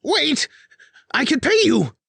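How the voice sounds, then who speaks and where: A man's voice: high voice, huge pitch swings, unhurried, vocal fry, pushed and bright, slightly rough, dry recording, pitched above the performer's own voice, heavily acted. A man voicing a panicked male spymaster who pleads and bargains frantically for his life. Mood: pleading.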